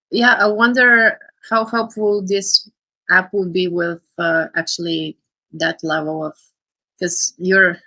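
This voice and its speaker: A woman's voice: on the low side, sharp tone, dry recording, rough recording. A woman hosting a casual group call, encouraging others and asking follow-up questions. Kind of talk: casual video call chat.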